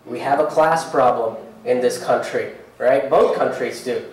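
A young man from India doing live stand-up comedy on a theater stage, ranting in a sarcastic, politely angry way with cursing and quick asides. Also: medium pitch. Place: theater stage before a laughing audience. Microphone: handheld mic, heard from far off in the hall. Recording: very echoey theater sound from a distance.

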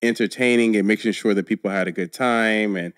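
A man's voice: fairly low pitch, fairly quick, no room sound. A man in his thirties, a guest actor, telling stories with frequent laughter in a podcast studio on a studio mic. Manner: animated storyteller, laughs often. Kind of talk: casual interview chat. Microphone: studio mic on boom arm, headphones.

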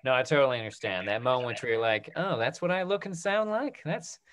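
A man moderates an online panel, speaking at a middling pitch that moves up and down a lot. He sounds like a host in his thirties, talking over a video call. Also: expressive, brisk, fairly bright tone, a little hiss or hum. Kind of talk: moderating a talk-back panel.

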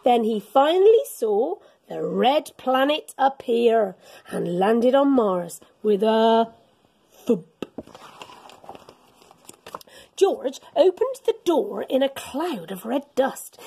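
A woman's voice: high-pitched, slow pace, creaky, husky. A middle-aged woman reading a children's story aloud as a teacher would, expressively and dramatically. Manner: animated, dramatic storytelling with gasps and whispers. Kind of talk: expressive picture-book read-aloud for children. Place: school classroom, indoors.

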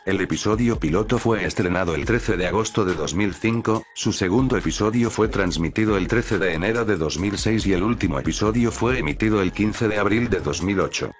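A man's voice: fairly low pitch, brisk, few pauses. A man reading scripted narration as the narrator of a countdown video. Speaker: narrator of a top list video. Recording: music behind the voice. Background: background music.

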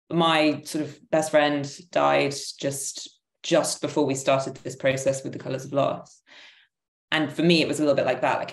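Very low-pitched woman, roomy sound. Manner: hesitant, searching for words. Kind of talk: reflective panel discussion. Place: living room, indoors. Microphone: video call, laptop at arm's length. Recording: roomy, echoing video call.

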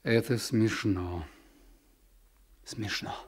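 An actor playing an earnest, inquisitive official in a radio drama, speaking in a measured, educated way. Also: male voice, fairly low pitch, breathy edge, heavily acted. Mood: dry. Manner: measured, educated, probing questions. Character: earnest, inquisitive male official, new district chief. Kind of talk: Soviet radio drama acting.

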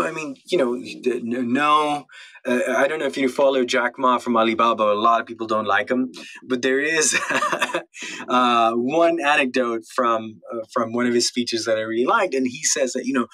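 A man's voice: mid-range voice, normal speed, bright, forceful tone. A man, talking casually as a podcast guest and acting out his thoughts as quotes. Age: late 20s, turning thirty. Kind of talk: casual interview talk.

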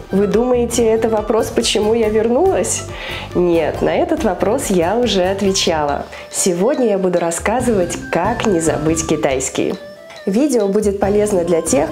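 A woman's voice: medium pitch, dark tone. A woman in her thirties, a language teacher, giving an upbeat scripted video lesson to camera in a small room on a lapel mic. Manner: upbeat, gesturing with her hands, clear list-like delivery. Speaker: Chinese language teacher and school founder.

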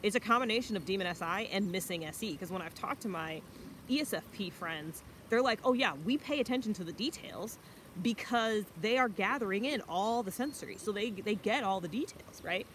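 Young adult woman, medium pitch, casual vlog monologue, conversational and self-reflective, faint outdoor noise. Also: expressive, brisk. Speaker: vlogger. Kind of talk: casual explanatory monologue to camera. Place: outdoors by a river among trees. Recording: noisy outdoor ambience.

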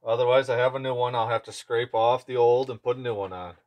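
A man's voice: mid-range voice, fairly even intonation, quick pace. A man giving a casual hands-on workshop demonstration as a mechanic, speaking offhandedly in short phrases while he works. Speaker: chainsaw mechanic and video host. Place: indoor workshop bench. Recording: clear background noise, clicking tool handling.

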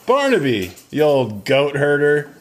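An actor playing a cool, indulgent middle-aged rock star in a comedy podcast, dry and placating. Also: male voice, fairly high pitch, wildly swooping pitch, a little slow, heavily acted. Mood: smooth, friendly.

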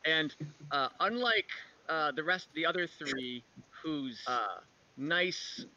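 A man acting as game master, narrating an online roleplaying game in a halting, improvised way. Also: a little high, expressive, pushed and bright. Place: home room, online video call. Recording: dull lo-fi video call.

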